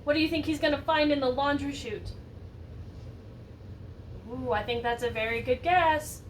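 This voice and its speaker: High-voiced woman reading aloud to children. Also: normal speed. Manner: animated, asks listeners questions. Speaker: teacher reading a picture book to children. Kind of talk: expressive read-aloud story narration with asides to children. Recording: distant mic, roomy background noise.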